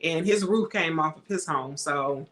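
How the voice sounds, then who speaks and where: Bright-voiced woman chatting casually. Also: low-pitched, measured pace. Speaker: vlog host. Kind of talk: casual chat.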